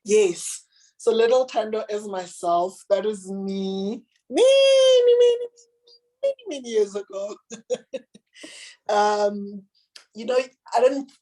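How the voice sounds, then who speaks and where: A woman with a deep, husky, raspy voice reading her own children's picture book aloud and chatting about it. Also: very animated, poor audio. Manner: gentle, unhurried reading aloud with picture commentary. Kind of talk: children's storybook reading, then casual chat.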